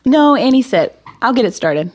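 An actress playing a breezy, irreverent amateur sleuth who loves gossip, wry and chatty in an audio drama. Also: female voice, a little high, sing-song, moderate pace.

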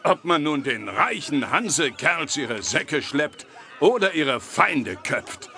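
An actor playing Störtebeker, a bold, boastful, hard-drinking medieval sailor, in an audio drama. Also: male voice, fairly high pitch, very animated, slow pace, pushed and bright, heavily acted. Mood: teasing, swaggering. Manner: boastful, swaggering, blunt. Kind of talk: German audio drama acting. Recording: noisy tavern ambience behind.

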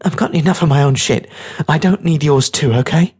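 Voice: mid-range voice, fairly quick, a little mellow, husky, voice pushed up. A narrator voicing a tough, scornful, streetwise teenage girl with a brash, mocking, bossy way of talking. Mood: stern, impatient.

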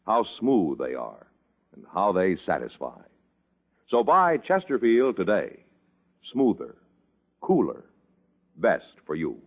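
A man reading a 1950s radio commercial as an announcer, polished and emphatic. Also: lowish voice. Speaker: advertising announcer. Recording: narrow-band vintage radio recording.

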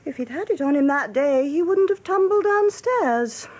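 Lively, high-voiced, blunt English office woman. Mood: candid. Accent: upper-class English. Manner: brisk, frank, drily witty. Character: blunt, jolly, slangy female office copywriter. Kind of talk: British radio drama acting.

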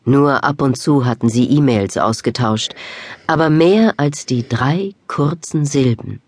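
A man's voice: medium pitch, unhurried, a little mellow, no echo. A man reading scripted narration for a German radio drama.

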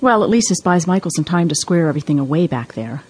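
An actress playing a no-nonsense, worried travel companion in an audio drama, with a serious, chiding manner. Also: female voice, lowish voice, animated, quick pace, darkish tone, husky. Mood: disapproving.

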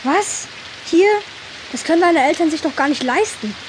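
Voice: sits high, lively intonation, moderate pace, a little hiss or hum, low-quality recording. A performer playing an arrogant, whiny rich schoolboy in a children's audio drama, snooty and outraged.

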